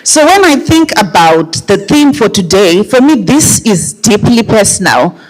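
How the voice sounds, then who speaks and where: A woman's voice: mid-range voice, very animated, measured pace, poor audio. A woman with a Zimbabwean accent giving informal, personal opening remarks to a live audience as an organization's chief executive. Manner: personal, addresses the audience directly.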